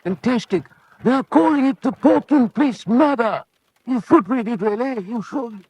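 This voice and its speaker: A man playing an excitable, chatty British gentleman in a radio drama, with a hesitant, exclaiming delivery. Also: very high-pitched, measured pace, husky, no room sound, heavily acted, rough recording. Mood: astonished, gossipy. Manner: hesitant, exclaiming, stammering start to phrases.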